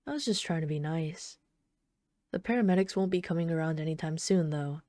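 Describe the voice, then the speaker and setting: A woman playing an obsessive, flirtatious villain in an intimate ASMR roleplay, speaking softly and unhurriedly with teasing, casual menace. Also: low-pitched, a little fast, no room sound, studio quality, natural voice. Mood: smitten, teasing. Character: obsessive yandere villain, flirtatious bomber calmly toying with trapped hero.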